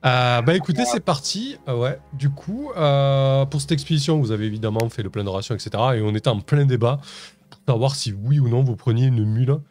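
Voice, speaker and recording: A man's voice: mid-range voice, expressive, a little fast, a little mellow, faint music behind. A man narrating as game master of a tabletop game, hesitant, with many fillers and restarts.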